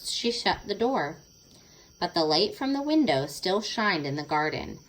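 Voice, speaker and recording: A woman's voice: fairly low pitch, very animated, unhurried, rough recording. A woman narrating a children's storybook expressively, stretching words for dramatic effect. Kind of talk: expressive children's read-aloud.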